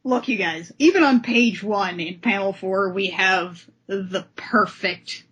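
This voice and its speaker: A woman's voice: mid-range voice, slow pace, fairly bright tone, breathy edge, low-quality recording. A woman hosting a casual discussion show, talking in an enthusiastic, effusive way. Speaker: podcast host.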